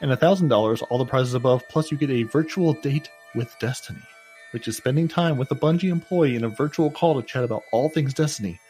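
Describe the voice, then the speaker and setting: A man's voice: medium pitch, brisk, a little mellow, soft background music. Chatty gaming podcast man. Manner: chatty, self-mocking, repeats words. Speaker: podcast co-host. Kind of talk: casual gaming podcast chat.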